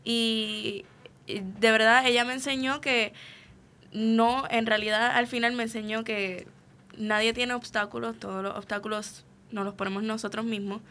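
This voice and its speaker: Bright-voiced young woman. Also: on the high side, little pitch movement, moderate pace, slight background noise. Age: young adult. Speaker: student actress being interviewed. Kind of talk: conversational interview answer.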